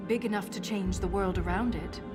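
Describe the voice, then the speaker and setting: A woman's voice: mid-range voice, a little fast, close to an everyday voice. A woman playing an earnest, curious dwarven scholar who ponders lost history in a video game cutscene. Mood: awed discovery. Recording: loud music behind the voice. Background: loud music.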